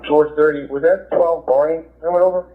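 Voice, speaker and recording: A man's voice: fairly high pitch, steady tone, brisk. A man working as a police officer, talking over police radio. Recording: tinny narrowband police scanner radio.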